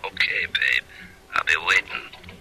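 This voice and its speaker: An actor playing an easygoing underworld boyfriend on the telephone in a 1940s radio crime drama. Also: male voice, low-pitched, animated, unhurried, creaky, pushed and bright, a little hiss or hum, exaggerated voice. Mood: cool, easygoing.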